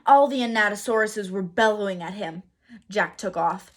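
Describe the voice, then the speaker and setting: Female storybook narrator, teacherly and expressive, husky mid-range voice with dramatic emphasis. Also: medium pitch. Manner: dramatic emphasis, pauses to quiz listeners. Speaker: read-aloud teacher.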